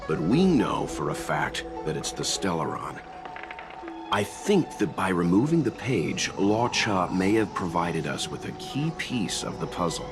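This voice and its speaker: Deep, composed scholarly mentor. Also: male voice. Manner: measured, courteous, analytical. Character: composed, scholarly adult man, calm reasoning mentor. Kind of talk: video game voice acting. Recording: music under the voice.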